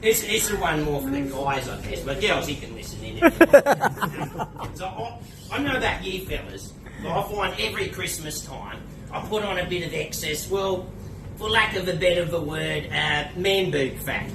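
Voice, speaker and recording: A man's voice: high-pitched, animated, normal speed. Australian male comedian, bawdy stand-up, off-mic, noisy echoing room. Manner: crude, laughs at his own jokes. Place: comedy club stage. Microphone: away from the mic, unamplified. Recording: echoey room, distant, noisy.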